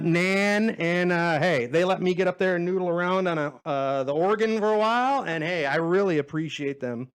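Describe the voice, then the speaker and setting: A man with a fairly high-pitched voice hosts a podcast. He chats loosely and casually, wandering from thought to thought. His manner is self-deprecating and peppered with swearing. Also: clear, resonant tone. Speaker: podcast host. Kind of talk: rambling casual chat.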